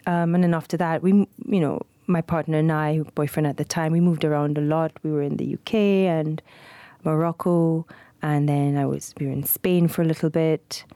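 A woman in her forties from Trinidad with a Trinidadian accent, telling her story in a relaxed, fluent way with soft fillers as a podcast guest. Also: low voice, moderate pace, no room sound. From Trinidad and Tobago. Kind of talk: casual interview.

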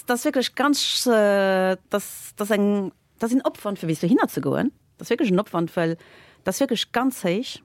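A woman, a guest in a casual interview, telling stories with lots of fillers and lively laughter. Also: mid-range voice, normal speed, dry recording. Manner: animated, laughing while telling anecdotes, many fillers. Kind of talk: casual storytelling.

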